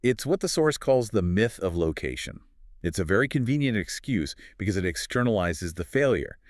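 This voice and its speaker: Male podcast co-host, very deep voice, measured explainer, dry close sound. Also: very animated, no echo. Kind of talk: scripted podcast conversation.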